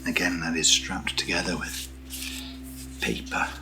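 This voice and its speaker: This is a British man with a very low voice, hosting an ASMR-style unboxing. He talks softly and slowly, often sinking into a hushed whisper. Music-like tones sound clearly behind him. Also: steady tone. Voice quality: soft, often dropping to a whisper. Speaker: ASMR video host. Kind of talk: soft-spoken ASMR unboxing.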